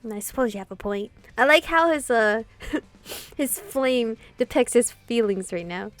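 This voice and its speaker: A woman's voice: fairly high pitch, a little slow, soft background music. A woman gaming streamer reading a visual novel aloud, breaking into casual, giggly, chatty commentary between lines. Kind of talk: casual let's-play commentary mixed with read-aloud narration.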